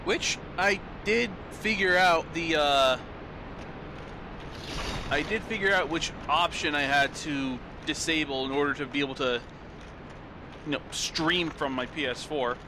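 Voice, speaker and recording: A man's voice: fairly high pitch, unhurried, background noise. A young man giving loose, rambling commentary while he plays, swearing casually, on a desk mic at home. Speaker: streamer. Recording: game music loud behind voice.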